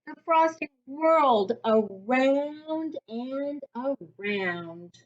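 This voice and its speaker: Lady doing storytime for little kids, highish voice that swoops around, slightly dull lo-fi sound. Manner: expressive, deliberate storytelling for young children. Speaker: reading coach reading aloud. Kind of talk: children's storybook read-aloud.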